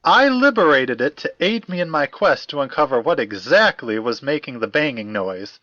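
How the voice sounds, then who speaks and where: A man's voice: mid-range voice, sing-song, moderate pace, sharp tone, no room sound, lo-fi sound. A man playing a prim, earnest eccentric in a fiction podcast, pompous and florid, with formal diction. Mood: eager. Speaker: actor in an audio drama.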